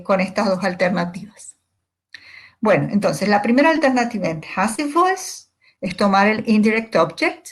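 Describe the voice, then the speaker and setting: A woman who is a native Spanish speaker, explaining grammar as a language teacher in a deliberate, methodical, didactic manner. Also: medium pitch. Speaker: English teacher.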